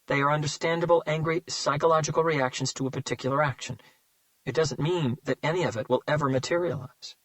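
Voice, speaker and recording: A man's voice: a little high, steady tone, fast talker, no room sound, voice pushed up, natural voice, poor audio. A man voicing a defiant female agent. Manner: sharp and defiant. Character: angry, blunt female FBI agent.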